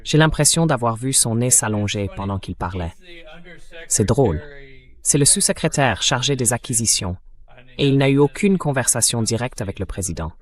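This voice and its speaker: A synthetic male voice of medium pitch comments on politics as a show guest. It has the sound of an AI-dubbed discussion. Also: expressive, moderate pace.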